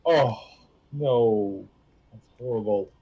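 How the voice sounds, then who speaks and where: A man's voice: mid-range voice, slow pace, slightly rough. A man chatting casually on a livestream as co-commentator, giving short reactions. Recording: dull lo-fi voice chat sound.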